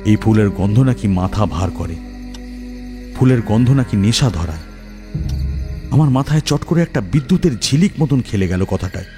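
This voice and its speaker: A man's voice: on the low side, lively intonation, normal speed, long pauses. A man narrating a first-person horror story in a dramatic style. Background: background music.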